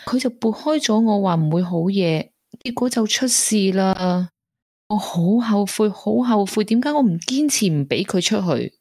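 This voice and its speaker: An actress playing a gentle, grieving young woman weighed down by guilt, in a Hong Kong Cantonese radio drama. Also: mid-range voice, lively intonation, moderate pace, no echo, studio quality. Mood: sorrowful regret.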